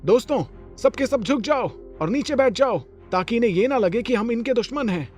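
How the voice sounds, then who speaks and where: A man's voice: very high-pitched, expressive, a little fast, darkish tone, faint music behind. A man voicing a young heroic leader in a cartoon story, earnest and theatrical. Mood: urgent, commanding.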